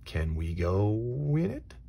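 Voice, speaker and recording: A man's voice: on the low side, huge pitch swings, slow pace, vocal fry, mellow, husky, exaggerated voice. Man as an eager, curious storybook boy. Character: friendly, curious neighbour boy.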